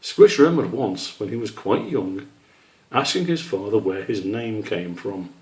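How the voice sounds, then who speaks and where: A man's voice: on the low side, lively intonation, measured pace, low-quality recording. A man narrating a children's storybook as the author reading his own work.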